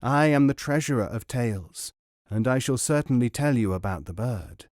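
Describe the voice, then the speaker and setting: A man's voice: medium pitch, animated, normal speed, darkish tone, no room sound, lightly acted. A courtly old Persian bookseller, gracious and formal, his voice lifted a little and dry, as a man reading the story puts him on. He talks with polite ceremony, in a close, dead sound.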